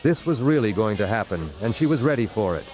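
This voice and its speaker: A man's voice: fairly low pitch, lively intonation, fast talker, a little mellow. A man hosting and narrating a parody radio drama in a theatrical old-time announcer style. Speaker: host and narrator. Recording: muffled old-radio sound with music.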